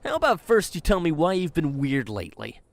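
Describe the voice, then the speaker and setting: A man's voice: on the high side, wildly swooping pitch, fairly quick. A man playing a cocky young wasteland companion who talks earnestly and speaks his mind. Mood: concerned.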